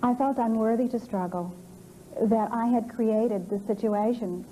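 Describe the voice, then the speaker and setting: A woman's voice: a little high, measured pace, mellow, a little hiss or hum, low-quality recording. A woman giving earnest personal testimony as a guest in a broadcast interview. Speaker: interview guest, birth parents' advocate.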